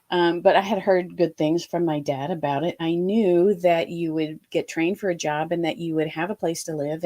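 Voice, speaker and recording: A woman from Ohio in her fifties recounts her past as an interview guest. Her voice sits a little low, and she slips into quoted thoughts with animated energy. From Ohio, USA. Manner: lively, animated retelling with quoted asides. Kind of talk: storytelling interview.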